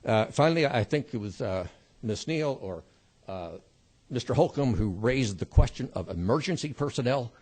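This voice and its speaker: A man testifying as a witness before a committee, measured and polite. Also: medium pitch, animated, a little mellow.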